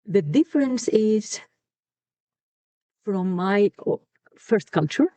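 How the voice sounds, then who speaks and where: A woman's voice: medium pitch, animated, takes it slowly, dark tone, no room sound. Greek-accented woman, earnest and thoughtful. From Greece. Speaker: co-host. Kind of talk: casual conversation.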